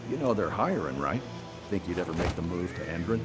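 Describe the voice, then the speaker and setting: A low but lively male voice for a bored, chatty guard in a video game. The talk is conversational. Loud music plays behind it. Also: fairly quick. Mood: casual.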